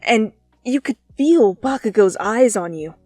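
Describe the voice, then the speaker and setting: Animated, higher-pitched woman narrating a story. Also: unhurried, dry recording. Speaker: fan fiction reader and narrator. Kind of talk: second-person dramatic story narration. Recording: close, dry, music behind.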